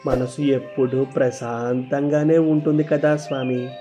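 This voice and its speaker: A man voicing a respectful, deferential attendant to a swami in an animated story. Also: medium pitch, measured pace, soft background music, close to an everyday voice. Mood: reverent. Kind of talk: animated moral story dialogue.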